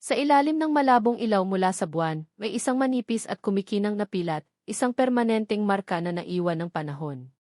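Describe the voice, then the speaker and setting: A woman's voice: mid-range voice, animated, a little fast, darkish tone, no room sound, clean studio sound. A woman reading a scripted, dramatic love story as its narrator.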